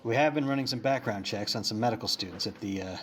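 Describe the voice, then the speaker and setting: An actor playing a gruff, blunt police captain in a crime audio play, with a husky voice and rough, plain-spoken manner. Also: male voice, fairly low pitch, quick pace, slight background noise, close to an everyday voice. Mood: brisk, commanding.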